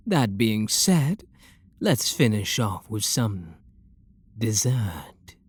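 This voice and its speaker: A man playing a refined, possessive vampire lord with a British accent in an ASMR roleplay, speaking softly and deliberately in a genteel, flirtatious manner. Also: lowish voice, big pitch swings, breathy edge, dry recording, heavily acted. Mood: coaxing, indulgent.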